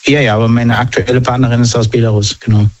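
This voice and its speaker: A man answering interview questions in a casual, matter-of-fact way, pausing now and then to search for the right words. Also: mid-range voice, little pitch movement. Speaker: interview guest. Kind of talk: casual conversation. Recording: dull lo-fi recording.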